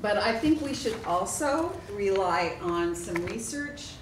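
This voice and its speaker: A woman speaking as a city councilor, giving an informal presentation at a public meeting in halting, measured phrases. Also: mid-range voice, expressive. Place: indoor council meeting room. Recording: echoey, noisy meeting room, distant mic.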